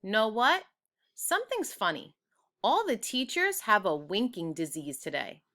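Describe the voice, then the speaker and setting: A woman voicing a curious, excitable third-grade girl with animated kid talk in a children's storybook. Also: medium pitch, a little slow. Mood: suspicious. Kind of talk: children's storybook read aloud.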